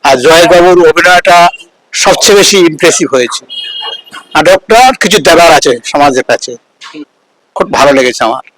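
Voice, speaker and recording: A man's voice: on the high side, fairly quick, pauses often, a bit bright, no echo, rough recording. A man giving an appreciative audience review in a short interview. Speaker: audience member. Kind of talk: vox-pop review. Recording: faint background noise.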